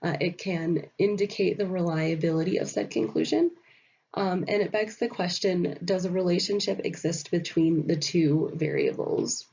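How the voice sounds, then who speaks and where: A woman's voice: on the low side, fairly even intonation, normal speed, darkish tone, husky, lo-fi sound. A woman recovering from an illness, sounding nasal, explains a topic in a recorded slide presentation with many fillers. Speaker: student presenter.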